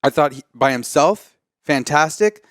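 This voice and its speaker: A man's voice: on the high side, lively intonation, measured pace, no echo, studio quality. A man co-hosting a video game show, chatting casually about a film in a jokey, emphatic way and often repeating himself. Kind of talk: casual film review chat.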